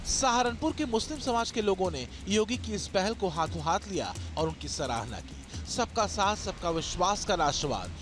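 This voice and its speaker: A man's voice: sits high, sing-song, moderate pace, hardly any pauses. A man delivering dramatic scripted television news narration in an emphatic, rhetorical manner. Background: background music.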